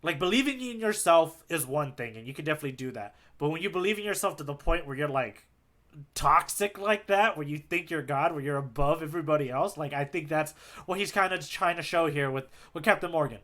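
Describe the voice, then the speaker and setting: A man co-hosting a podcast, chatting casually in an animated, slangy way with plenty of "like". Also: fairly high pitch. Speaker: podcast co-host. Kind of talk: casual chat, manga readalong commentary.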